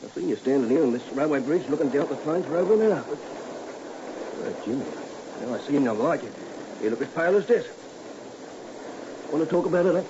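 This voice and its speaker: A man's voice: on the high side, animated, brisk, long pauses, natural voice. An actor playing a chatty, folksy tramp down on his luck, in old-time radio drama style. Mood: curious, coaxing. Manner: folksy, colloquial, easygoing. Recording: dull, noisy old radio recording.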